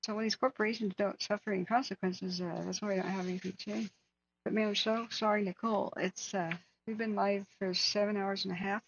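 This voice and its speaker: A woman hosting a wildfire tracking livestream, hoarse from hours of talking, speaking earnestly and answering viewers by name. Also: mid-range voice, steady tone, a little fast, muffled recording, a little hiss or hum. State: hoarse, losing her voice. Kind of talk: casual livestream chat.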